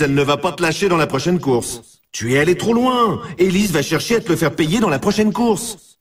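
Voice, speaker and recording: A man acts out an excitable snowboard race announcer for a video game. His voice sits high and swings widely in pitch, heard from a little way off the mic. He is emphatic and familiar, needling and warning the player like a hyped sports commentator. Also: distant mic, natural voice.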